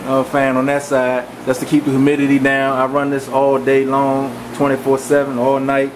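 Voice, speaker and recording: A man giving a relaxed, rambling walk-through of his home aquarium room, speaking casually with many fillers into a handheld camera. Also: medium pitch, fairly quick. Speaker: fish breeder giving a tour. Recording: camcorder audio with fan hum.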